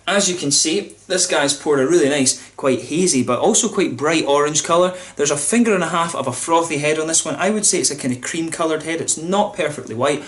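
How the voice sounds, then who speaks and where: Fast-talking man reviewing beer. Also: medium pitch. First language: English. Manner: chatty, informal, many fillers and restarts. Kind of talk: casual beer review to camera.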